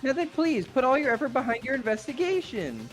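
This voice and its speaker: A woman's voice: high-pitched, big pitch swings, fairly quick, soft background music. A woman voicing a smug, mocking, murderous robot teddy bear mascot from a visual novel, mock-cheerful and taunting. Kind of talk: amateur dramatic reading of a visual novel.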